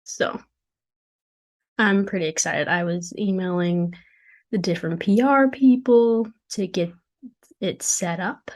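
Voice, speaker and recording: Hoarse young woman chatting casually. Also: lowish voice, slow, long pauses. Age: young adult. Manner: chatty, self-deprecating, joking asides. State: hoarse, losing her voice. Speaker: podcast host and founder. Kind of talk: casual solo podcast chat. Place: dorm room. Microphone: desk mic at a computer.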